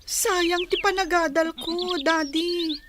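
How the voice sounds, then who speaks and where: Woman acting a very high-pitched pleading Filipino girl. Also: fairly even intonation, slow, background noise. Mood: aggrieved. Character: pleading young farm girl longing to study. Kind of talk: Ilocano radio drama acting.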